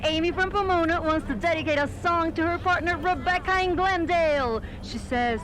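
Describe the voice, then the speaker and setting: Very high-pitched hyped radio DJ. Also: male voice, moderate pace, bright, forceful tone, a little hiss or hum. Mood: hyped, upbeat. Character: hyped-up radio DJ reading song dedications. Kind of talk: radio DJ patter.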